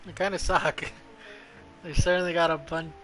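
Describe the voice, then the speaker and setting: A male streamer with a fairly high, sharp voice comments casually on a game. He is blunt and mocking, swearing freely, with faint music behind him. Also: level tone, normal speed.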